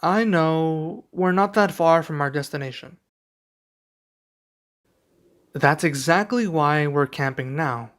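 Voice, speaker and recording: A young man playing a serious, guarded dragon adventurer in an ASMR roleplay, speaking softly and slowly with pauses. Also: fairly high pitch. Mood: earnest. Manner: soft-spoken, measured, with pauses.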